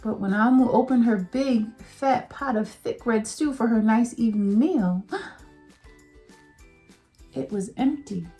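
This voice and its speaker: A woman teacher reading a picture book aloud to children, slow and expressive. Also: on the high side, faint music behind.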